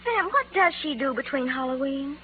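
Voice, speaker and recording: A woman's voice: high-pitched, animated, normal speed. A woman playing a naive, eager young secretary with a girlish manner in a 1940s radio drama, tinny and noisy. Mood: naive, sweet.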